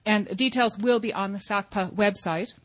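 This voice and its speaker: A woman's voice: mid-range voice, normal speed. A woman moderating a public affairs forum, making announcements in a businesslike way with some restarts.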